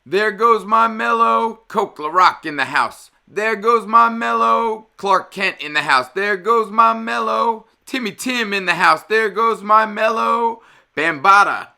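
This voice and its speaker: An exuberant young hip-hop DJ from a storybook as a man reads him aloud. He hollers drawn-out, chanted shout-outs, pitched high and bright, like an MC hyping up a party. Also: creaky, clear, resonant tone, full character voice. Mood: exuberant hype. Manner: loud, drawn-out calling. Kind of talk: chanted rap-style party call-outs.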